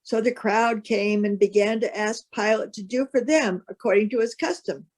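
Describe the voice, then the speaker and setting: A woman narrating a solemn Bible reading as a scripted piece, with measured, careful phrasing. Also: medium pitch, a little mellow.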